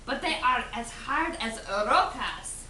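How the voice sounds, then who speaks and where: Woman doing a squeaky gang of rowdy chihuahuas. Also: lively intonation, slow, sharp tone, slight background noise, full character voice. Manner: sing-song chanting. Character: chorus of rowdy little male chihuahua dogs. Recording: echoey room from a distance.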